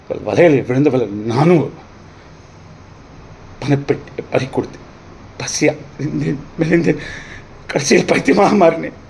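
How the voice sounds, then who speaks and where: A man playing a wronged, defiant accused brother pleading his case in court, declaiming in theatrical old Tamil film oratory with dramatic pauses. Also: fairly high pitch, vocal fry, darkish tone, slight background noise. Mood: sorrowful.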